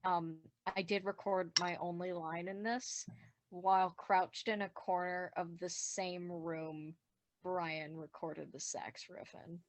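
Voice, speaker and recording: Low-pitched woman in casual group chat. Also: level tone, slow, poor audio. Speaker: podcast creator and voice actor.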